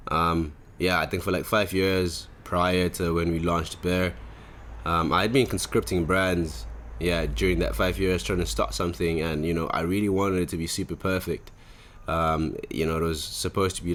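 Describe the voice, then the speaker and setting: Deep-voiced young man. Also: fairly bright tone. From Zimbabwe. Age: 20s. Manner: rambling, slangy. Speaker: podcast host. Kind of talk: casual chat.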